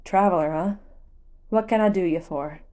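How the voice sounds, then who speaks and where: A woman's voice: lowish voice, normal speed, dark tone, natural voice. Woman playing a folksy village shopkeeper man. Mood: friendly, wry. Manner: folksy, casual, advising. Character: friendly folksy male village shopkeeper, casual.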